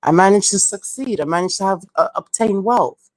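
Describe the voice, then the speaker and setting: A woman's voice: medium pitch, lively intonation, a little fast, dry recording, low-quality recording. A woman from Canada hosting a casual live stream, reading out viewer comments and giving her opinions candidly.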